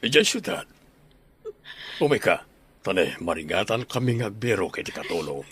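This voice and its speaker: A man playing a kindly, concerned stranger in an audio drama, asking gently in a northern Philippine accent. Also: low voice, expressive, moderate pace, husky, exaggerated voice. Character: concerned, kindly male stranger offering work.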